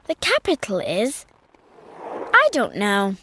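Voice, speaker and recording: A woman voicing a friendly, expressive young girl in a scripted textbook dialogue, with a clear, careful, sing-song delivery. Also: mid-range voice, slow pace, slight background noise, exaggerated voice.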